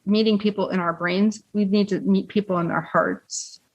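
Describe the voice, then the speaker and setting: A woman's voice: medium pitch, steady tone, moderate pace, dull audio. A woman who is an executive coach, a podcast guest talking reflectively and earnestly, sometimes stammering with repeated words. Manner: earnest, stammering repeats when reaching for a thought. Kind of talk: reflective conversation.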